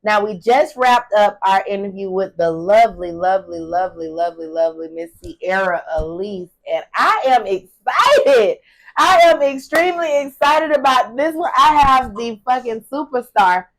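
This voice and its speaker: A woman hosting a podcast, chatting in a lively, teasing and playful way. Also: mid-range voice, normal speed, rough recording. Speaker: podcast host. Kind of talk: casual podcast chat.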